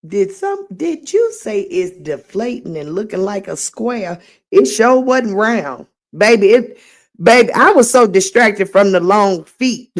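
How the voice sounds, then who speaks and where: A middle-aged woman with a slightly raspy voice, hosting a casual late-night livestream and reading comments aloud in a sassy way. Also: medium pitch. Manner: sassy, drawling, reads chat aloud. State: voice getting raspy. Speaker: livestream host.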